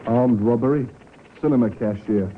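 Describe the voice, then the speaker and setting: An actor playing a dutiful police sergeant, crisp and official, in an old radio drama. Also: male voice, medium pitch, moderate pace, lightly acted. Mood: brisk, official. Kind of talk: 1950s radio drama acting. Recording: tinny, noisy old radio recording.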